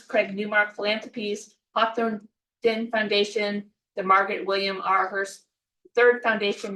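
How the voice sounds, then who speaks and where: A woman making event announcements and working through a long list of sponsors. She fills her pauses with ums and uhs. She is recorded from across an echoey room. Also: medium pitch, sharp tone, distant mic, slight background noise. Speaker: event organizer and development staff. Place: event room at a book club.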